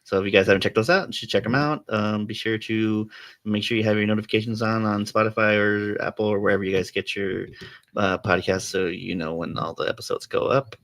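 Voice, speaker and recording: A man's voice: on the low side, fairly even intonation, fairly quick, fairly bright tone, no room sound. A man hosting a casual podcast, rambling through a TV episode with lots of fillers and restarts. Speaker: podcast host. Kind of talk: casual chat about a TV episode.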